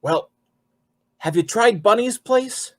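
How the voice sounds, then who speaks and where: A cringing little informant from a vintage radio drama, stammers through street slang. The high voice jumps around nervously and whines. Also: male voice, animated, takes it slowly. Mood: reluctant, confiding. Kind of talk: 1940s radio acting.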